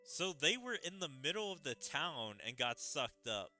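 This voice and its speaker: Guy doing casual game commentary, really bright sharp voice, lively pitch swings, game music in the back. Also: measured pace. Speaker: gaming video creator playing and reacting to a story event.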